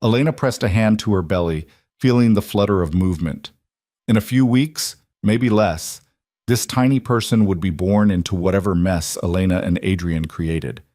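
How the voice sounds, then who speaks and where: A man narrates dramatic romance fiction in a low voice. The sound is dry, with no room around it, and the delivery is that of a scripted audiobook. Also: normal speed, dark tone, dry recording.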